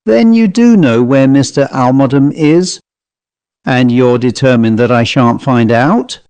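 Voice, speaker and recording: A man voicing a wary, commanding gentleman traveller who speaks concisely and challengingly in an audiobook story. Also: medium pitch, very animated, unhurried, smooth, no room sound. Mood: firm.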